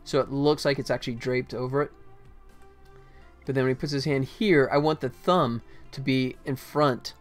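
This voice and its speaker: A man's voice: medium pitch, steady tone, normal speed, soft background music. A middle-aged man gives casual live commentary as a game developer, thinking aloud in short fragments. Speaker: game developer livestreaming his work.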